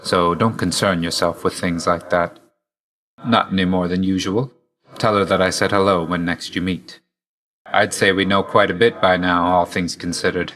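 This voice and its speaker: A deep-voiced god of death for a video game. He is dutiful and reserved, with a measured, formal delivery. His manner stays understated, weary yet quietly fond. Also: steady tone, rough recording. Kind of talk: video game character voice lines.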